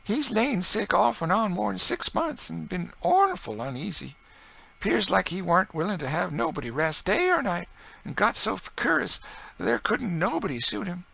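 A man voicing a grieving enslaved mother with a rural Southern accent, high and plaintive. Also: big pitch swings, moderate pace, gravelly, a bit bright, full character voice. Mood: sorrowful. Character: frightened grieving enslaved woman, middle-aged mother.

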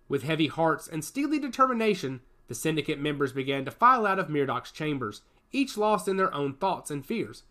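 A man's voice: on the high side, bright, forceful tone. A man narrating a story in a dramatic storytelling cadence.

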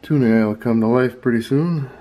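Relaxed male hobbyist walking through a radio demo at home, plain middle voice. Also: medium pitch, poor audio. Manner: relaxed, unhurried, with fillers. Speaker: radio restorer demonstrating a radio. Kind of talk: casual show-and-tell.

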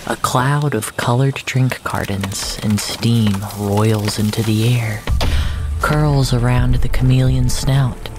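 A man acting as the solemn first-person narrator of surreal fiction, with a deliberate, literary delivery. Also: mid-range voice, fairly even intonation, background noise, natural voice. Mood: solemn, intense.

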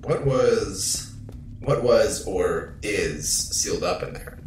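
Deep, deadpan spaceship companion. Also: male voice, slow pace. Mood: cautious. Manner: deadpan, terse. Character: dry, cautious male companion aboard a spaceship. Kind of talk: naturalistic sci-fi audio drama acting. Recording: echoey space with faint ambient noise.

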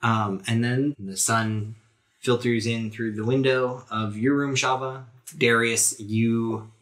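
A man's voice: mid-range voice, fairly even intonation, slow pace, a bit bright. A man improvising narration as the game master of a tabletop role-playing podcast.

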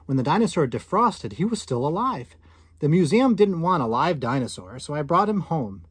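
A man's voice: a little high, big pitch swings, normal speed, husky, dry recording, clean studio sound. A man voicing an eager, lonely little boy pleading for a pet in a storybook. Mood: earnest boasting. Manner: pleading, earnest.

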